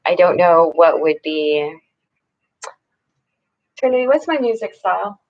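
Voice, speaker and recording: A woman chatting with viewers during a live painting stream, soft-spoken and halting, often dropping to a whisper. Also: lowish voice, lively intonation, muffled recording. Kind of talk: casual live-stream chat while painting.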